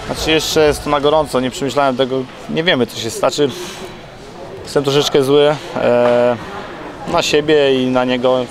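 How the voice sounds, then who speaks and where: A man's voice: medium pitch, steady tone, normal speed. A man in his thirties, a boxing coach, giving hesitant post-fight interview answers while searching for words. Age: 30s. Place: indoors at arena interview backdrop. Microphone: handheld interview mic. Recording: very loud crowd noise behind.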